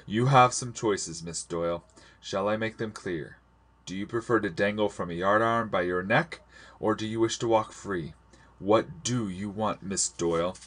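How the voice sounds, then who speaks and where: A man voicing a patient old sailor from a storybook, pleading earnestly in a measured, formal, insistent way. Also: lowish voice, a bit bright. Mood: grave, probing.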